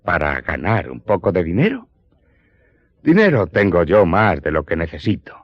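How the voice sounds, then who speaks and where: A man's voice: deep voice, sing-song, normal speed, breathy edge, no room sound, muffled recording, full character voice. A man voicing an insolent, lazy teenage boy with a mocking, haughty manner in an audio drama. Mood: scornful. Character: insolent, lazy thirteen-year-old boy scorning honest work.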